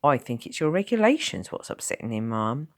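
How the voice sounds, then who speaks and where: Woman reading as a blunt, kindly old countryman; low, animated, plain-spoken. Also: brisk, exaggerated voice. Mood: gruff, plain. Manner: blunt rural dialect, calm.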